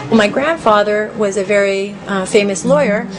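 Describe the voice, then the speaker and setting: A woman's voice: mid-range voice, normal speed, crowd noise, noisy background. A woman telling a family anecdote conversationally in an interview. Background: music.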